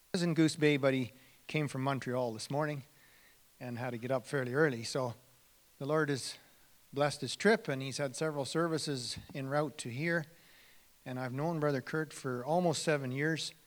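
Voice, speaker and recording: Male pastor giving a loose, halting intro of a guest speaker. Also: medium pitch. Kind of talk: church introduction.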